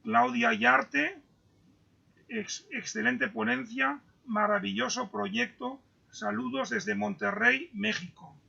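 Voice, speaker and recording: A man's voice: extremely high pitch, fairly even intonation, vocal fry, bright, forceful tone, breathy edge. A man moderating an online Q&A, reading out messages and stumbling over his words on a video call. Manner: halting, stumbles and repeats words. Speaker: moderator reading audience questions. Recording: dull lo-fi video call audio.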